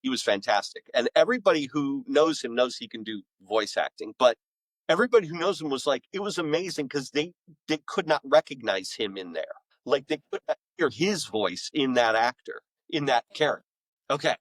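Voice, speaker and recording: Middle-aged man, mid-pitched voice, enthusiastic, emphatic, casual interview storytelling, dry recording. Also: expressive, no room sound, low-quality recording. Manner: enthusiastic, emphatic storyteller with dramatic pauses and repetition. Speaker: author and voice-acting school director, podcast guest. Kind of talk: casual, animated interview chat.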